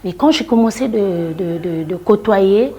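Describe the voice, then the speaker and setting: A middle-aged woman from the Congo, a singer and storyteller, answering interview questions in a hesitant way, with many restarts and fillers, often trailing off quietly. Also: medium pitch, animated, measured pace, breathy edge. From Republic of the Congo.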